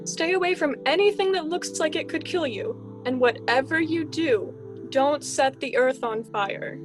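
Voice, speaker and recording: Woman as a high-voiced fussy sun-god dad. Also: measured pace. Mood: anxious scolding. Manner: exasperated, theatrical parental fussing. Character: fretful sun-god father scolding his teenage son. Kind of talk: amateur student radio drama acting. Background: music.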